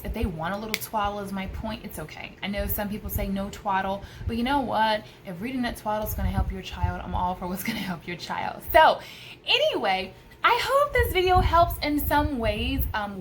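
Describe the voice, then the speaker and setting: A woman in her thirties giving casual, emphatic homeschooling advice to camera in a room at home. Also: mid-range voice. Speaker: homeschool vlogger. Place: small room at home by a window. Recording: clearly noisy room recording.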